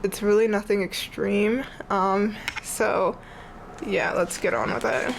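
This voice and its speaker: A woman's voice: medium pitch, steady tone, slow pace, vocal fry, background noise. A young woman with a stuffy, congested voice, chatting casually and rambling as she shows her sketchbook from her bed. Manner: chatty, rambling, says "like" often. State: congested, sniffly. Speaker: art vlogger. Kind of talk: casual sketchbook tour chat.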